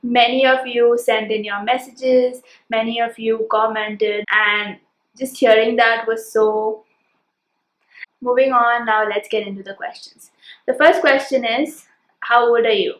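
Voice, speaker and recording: A woman's voice: a little high, steady tone, unhurried, roomy sound, low-quality recording. A woman in her twenties with an Indian English accent, answering viewers' questions in an earnest, reflective casual vlog. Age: 20s. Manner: earnest, reflective, listing things one by one. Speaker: vlogger.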